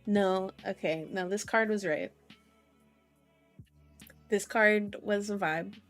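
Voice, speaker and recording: A woman's voice: mid-range voice, slow pace, faint music behind. A woman hosting a casual livestream, chatting and musing aloud as she reads out cards, often drawing out her words. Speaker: livestream host reading tarot and horoscopes. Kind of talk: casual livestream chat mixed with reading aloud.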